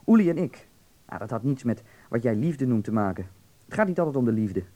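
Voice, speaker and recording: A man's voice: mid-range voice, sing-song, brisk, mellow. An actor playing a worldly young man from Berlin in a radio drama, candid and insistent yet reassuring, explaining patiently and earnestly. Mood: candid, dismissive.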